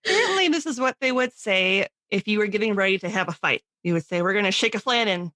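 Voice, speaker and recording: A woman's voice: mid-range voice, expressive, quick pace, bright, forceful tone, no room sound. A woman hosting a podcast in a casual, giggly, chatty way. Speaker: podcast host. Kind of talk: casual chat.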